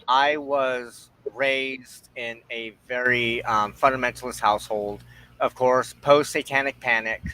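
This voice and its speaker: Slow, deliberate man in reflective discussion. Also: medium pitch, sharp tone, rough recording. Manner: halting, deliberate, breaks phrases into short pieces. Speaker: host of a spiritual discussion show.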